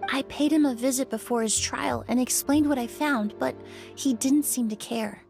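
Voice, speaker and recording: An actress voicing a poised, thoughtful young woman perfumer in a video game, speaking in a composed, articulate, measured way. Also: high voice, creaky, a little mellow, faint music behind. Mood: reflective. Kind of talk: scripted video game dialogue.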